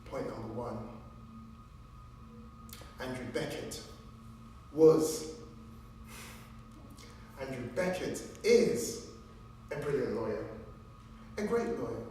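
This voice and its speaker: A man playing an earnest trial lawyer in a theatrical courtroom monologue, measured and emphatic, with dramatic pauses. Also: medium pitch, animated, warm tone. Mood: solemn, measured. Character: earnest, deliberate trial lawyer addressing a jury. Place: large echoing performance room, distant microphone. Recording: echoey hall from far off, faint noise.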